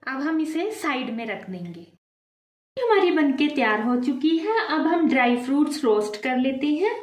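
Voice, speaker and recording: A woman hosting a home cooking video, calmly talking viewers through each step and calling them friends. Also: high voice, moderate pace, roomy sound. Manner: addresses viewers as friends, calm explaining. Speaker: cooking channel host. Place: home kitchen at the stove.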